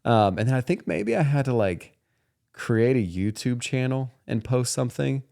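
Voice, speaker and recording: A man's voice: medium pitch, animated, moderate pace, gravelly, darkish tone. A man in his thirties hosting a podcast in an informal, slangy, easygoing conversational way. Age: 30s. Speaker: podcast host. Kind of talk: casual podcast chat.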